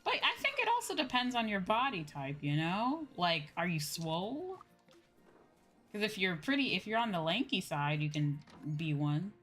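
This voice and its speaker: A woman's voice: medium pitch, big pitch swings, moderate pace, a bit bright. A woman livestreamer chatting casually and informally with viewers while she plays a game, rambling in slangy speech. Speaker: livestreamer, VTuber host. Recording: music playing behind the voice. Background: game music.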